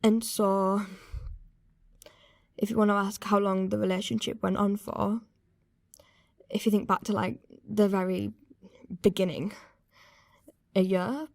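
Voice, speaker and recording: A young woman speaks in a naturalistic radio confessional. She is hesitant and uneasy but candid, with a medium pitch in a very dry close recording. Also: vocal fry, darkish tone, no echo. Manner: hesitant, halting, candid, swearing. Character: young woman uneasily recalling an abusive first relationship.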